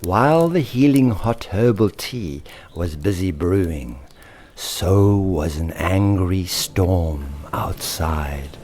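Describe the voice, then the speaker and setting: A man with a fairly low voice tells a children's story. He speaks very slowly and theatrically, savoring the wordplay, with highly animated intonation. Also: a little hiss or hum. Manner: theatrical, lingering on alliterative words. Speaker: author and storyteller. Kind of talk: expressive children's story narration.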